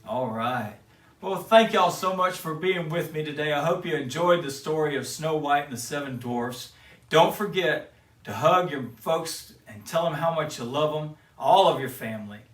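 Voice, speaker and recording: A man gently reading a children's storybook aloud, soft-spoken and sometimes dropping to a hush. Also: fairly high pitch, normal speed. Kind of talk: reading a picture book aloud to kids. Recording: roomy sound from a distant mic with guitar music. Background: guitar music.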